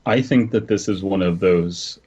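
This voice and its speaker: A man's voice: low voice, moderate pace, a little mellow, husky. A man co-hosting a horror podcast, talking hesitantly and searching for words as he chats and reads. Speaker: podcast co-host. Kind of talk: casual chat and story reading. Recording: dull muffled audio.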